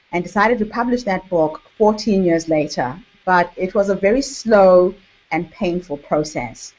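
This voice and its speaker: A woman with a Zimbabwean accent hosts an online workshop, speaking in a measured, deliberate way with emphatic repetition. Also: fairly low pitch. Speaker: workshop host and author coach. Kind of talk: online workshop presentation to camera. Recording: dull, lo-fi webinar audio.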